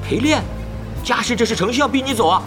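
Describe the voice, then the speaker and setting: Guy doing a young gamer who won't stop talking, rapid nagging voice, lots of swing. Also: very high voice. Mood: pestering. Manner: rapid, pestering, excitable chatter. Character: chatty, nosy young male pro gamer. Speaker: voice actor. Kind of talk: audio drama acting. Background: background music.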